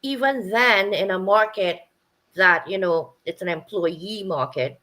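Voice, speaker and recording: Bright-voiced woman host, frank and curious. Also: mid-range voice, unhurried. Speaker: podcast host. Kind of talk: casual interview chat.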